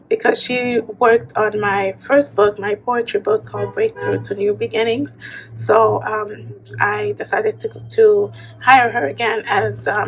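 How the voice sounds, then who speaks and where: A woman from Haiti, an author in her thirties, talking casually to a phone camera in a room with bookshelves, pausing often with ums and you-knows. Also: medium pitch, creaky, slight background noise, poor audio. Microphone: phone camera at arm's length.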